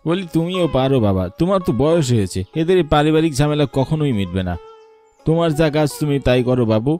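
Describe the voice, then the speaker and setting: A man's voice: on the high side, animated, a little fast, warm tone, soft background music, natural voice. Man as a practical, chiding grown son. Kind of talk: cartoon voice acting.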